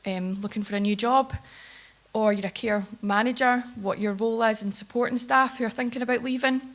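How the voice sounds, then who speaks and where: A woman researcher giving a plain-spoken conference presentation, steady but with filler ums. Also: on the high side, brisk. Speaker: care home researcher. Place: conference hall.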